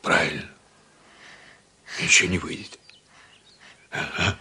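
A man playing a kind, concerned young man, narrating a children's story in an expressive, conversational way. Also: deep voice, slow pace, breathy edge, exaggerated voice. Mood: thoughtful, concerned. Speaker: narrator and lead actor.

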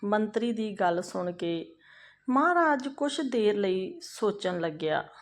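Woman, storyteller, moral tale narration, unhurried pace, deliberate pauses, mid-range pitch. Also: sing-song.

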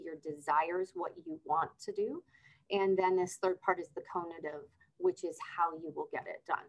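A woman's voice: on the low side, a bit bright, poor audio. A middle-aged woman, born in Argentina, telling her life story as a guest on a video-call interview. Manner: upbeat, explanatory, storytelling. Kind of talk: casual interview talk. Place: office with window blinds.

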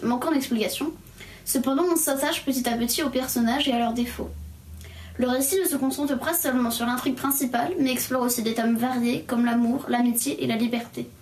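A woman's voice: high voice, a little mellow, echoey room, far from the mic, low-quality recording. A girl reading a prepared book review aloud at home, in front of bookshelves. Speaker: young jury member reviewing a book.

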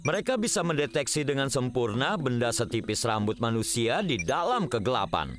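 A man's voice: medium pitch, big pitch swings, brisk, soft background music, natural voice. A man playing a friendly, instructive adult park ranger in a children's cartoon. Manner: reassuring, instructive. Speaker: ranger explaining animal facts. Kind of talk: children's cartoon dialogue and explanation.

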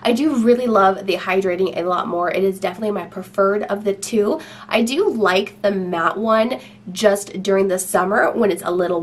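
A woman's voice: mid-range voice, slight background noise. A young woman with a slight cold, chatting casually to camera at home as she reviews her makeup products. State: has a cold. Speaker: beauty vlogger. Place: home room with shelves.

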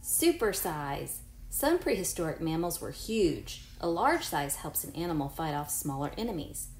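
A woman's voice: low-pitched, sing-song, moderate pace. A woman in her forties, a teacher reading a picture book aloud to young children in an encouraging way, with chatty asides. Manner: encouraging, addresses unseen children with questions. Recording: roomy echo, faint background noise.

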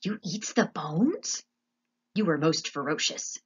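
A woman voicing a loud, blunt, hard-of-hearing servant girl with rustic grammar for a storybook. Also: low-pitched, sing-song, slow pace, slightly rough, lo-fi sound, heavily acted. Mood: admiring. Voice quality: loud, shouting.

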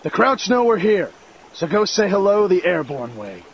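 A man playing a gruff American army sergeant, giving brisk, authoritative orders. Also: high-pitched, sing-song, dull audio, slight background noise. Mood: commanding, urgent. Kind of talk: military orders in game dialogue.

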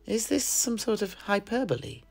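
A man's voice: high voice, sing-song, moderate pace, vocal fry, voice pushed up, exaggerated voice. A man voicing a polite young male apprentice in an audio drama, asking hesitant, apologetic questions. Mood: curious.

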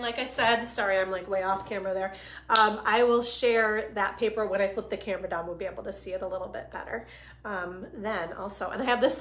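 A woman in her forties, a bit hoarse from a cold, chattily demonstrating craft supplies on a home live stream and greeting viewers by name. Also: mid-range voice, slight background noise. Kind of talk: casual live-stream demo. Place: living room at home.

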